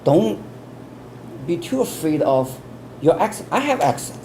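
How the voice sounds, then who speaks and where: A man's voice: fairly high pitch, very animated, a little slow, warm tone. A man with a Chinese accent giving interview answers as an English test expert, earnest, often repeating words and restarting. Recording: air conditioning hum in background.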